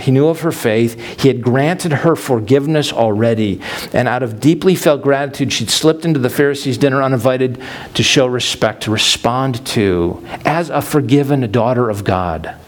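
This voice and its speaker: A man's voice: mid-range voice, animated, normal speed, slight background noise. A man preaching a sermon as pastor, explaining scripture in a conversational teaching manner with frequent fillers.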